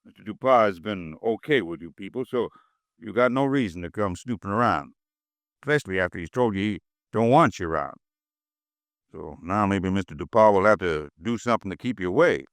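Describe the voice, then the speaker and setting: A menacing burly thug. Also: male voice, on the low side, expressive, a little fast, no echo. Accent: American. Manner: gruff, threatening, slangy. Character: tough burly truck driver, menacing. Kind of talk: 1950s radio drama acting.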